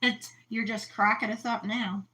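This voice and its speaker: A woman's voice: a little high, fairly even intonation, normal speed, bright, forceful tone. A woman hosting a casual livestream, chatty, telling stories and laughing often. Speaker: host of an aquarium livestream. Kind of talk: casual chat.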